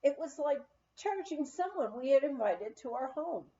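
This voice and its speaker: A woman reading a memoir aloud as an audiobook narrator, telling it in an expressive storytelling manner. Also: high voice, moderate pace, highs cut off. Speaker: audiobook reader.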